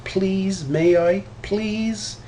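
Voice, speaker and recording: Man putting on an eager, high-pitched little girl bear cub. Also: drawn-out words, dark tone, slight background noise. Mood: excited pleading. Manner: pleading, eager.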